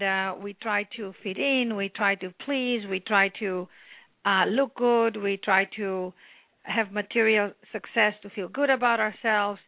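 A woman from Greece with a Greek accent, an author talking earnestly at length in a phone interview, with many pauses. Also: mid-range voice, vocal fry, no echo. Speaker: author guest. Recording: tinny phone line.

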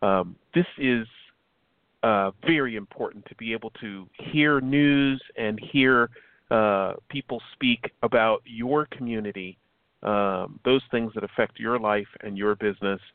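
A man's voice: fairly low pitch, a little slow, dry recording. A man hosting a community radio show, reading out the station break and the show schedule in an announcer-like way. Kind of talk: host's station break and chat. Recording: dry phone-like line.

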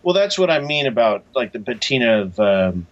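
A man speaking as an author being interviewed, in a halting, thoughtful, conversational way. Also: mid-range voice, big pitch swings, a bit bright. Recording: dull, muffled lo-fi audio.

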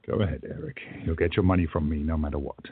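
A man's voice: a bass voice, steady tone, fast, slightly rough, no room sound. A man playing an impatient, brusque time-travelling hero in a radio play, speaking emphatically. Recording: dry, tinny phone-like line.

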